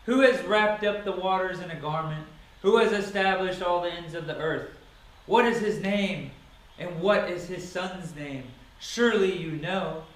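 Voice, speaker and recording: A man with a high-pitched voice reads scripture aloud in a dramatic style. His delivery is solemn and declamatory, with each phrase set out deliberately. The recording is drenched in echo. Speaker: Bible reader. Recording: very echoey, reverberant sound.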